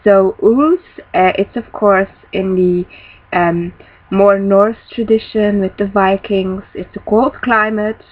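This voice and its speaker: Young woman, native Dutch speaker, mid pitch, thoughtful, lo-fi webcam audio, small room. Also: fairly even intonation, slow. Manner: thoughtful, searching for words, restarts often. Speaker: spiritual teacher. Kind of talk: casual webcam lesson. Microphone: built-in laptop webcam mic, close.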